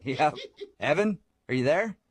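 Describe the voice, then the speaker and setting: A man hosting a radio show, calmly explaining things in a phone conversation. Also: a little high, measured pace, slightly rough. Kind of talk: prank call conversation on radio.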